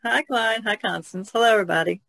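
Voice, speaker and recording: A woman's voice: medium pitch, fast, vocal fry, fairly bright tone. A woman co-hosting a podcast, speaking in a casual conversational way. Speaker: podcast co-host and painter.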